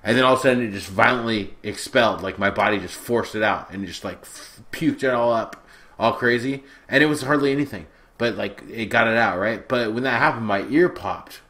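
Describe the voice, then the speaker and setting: A man's voice: medium pitch, a little fast. Congested man telling a story on a podcast. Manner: animated storyteller, swears casually. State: stuffed up with a sore throat. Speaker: podcast co-host.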